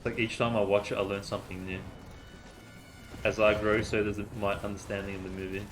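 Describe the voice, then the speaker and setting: A man's voice: fairly low pitch, little pitch movement, a little fast, faint music behind. A man, a native Vietnamese speaker, chatting casually and rambling through gameplay commentary on stream.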